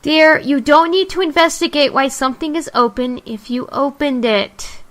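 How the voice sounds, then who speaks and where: A woman's voice: high voice, normal speed, fairly bright tone. A woman voicing a bossy, motherly female cartoon pony in a fan audio drama, chiding but not unkind.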